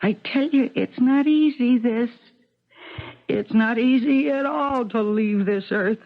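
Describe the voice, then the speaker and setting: A woman's voice: on the high side, slow. A woman playing a distraught, weary mother and actress, rambling and self-mocking in a theatrical 1970s radio drama style. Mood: fretful, tearful. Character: distraught weary actress mother recording her suicide tape. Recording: muffled old radio broadcast with music.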